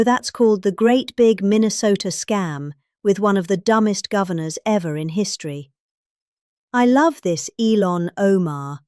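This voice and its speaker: A middle-aged woman with a British accent giving a dramatic reading of a political speech, emphatic and deliberate in her phrasing. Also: mid-range voice, a little mellow, no echo, studio quality. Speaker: reader.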